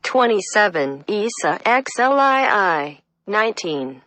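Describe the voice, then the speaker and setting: A woman reading a reference text aloud, deliberate and flat, spelling out citations. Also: mid-range voice, dry recording. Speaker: reader.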